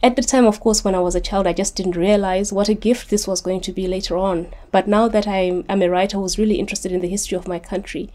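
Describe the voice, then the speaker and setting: A woman answers interview questions in an earnest, articulate way. She often repeats a word as she restarts a phrase. Her voice sits at a middle pitch with a soft, mellow timbre. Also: fairly even intonation, fast, few pauses. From Zimbabwe. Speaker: author guest.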